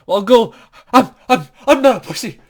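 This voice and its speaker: Let's play host, medium pitch, casual gaming commentary, chatty, self-deprecating. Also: expressive, normal speed. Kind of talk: casual gaming commentary and reading game text aloud.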